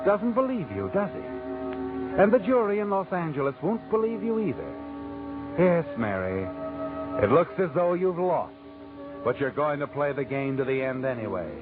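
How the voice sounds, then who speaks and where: An actor playing a sinister, knowing storyteller who taunts in an old-time radio mystery narration. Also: male voice, fairly high pitch, very animated, moderate pace. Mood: taunting, knowing. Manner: taunting, dramatic, second-person address. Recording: tinny vintage radio recording. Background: music.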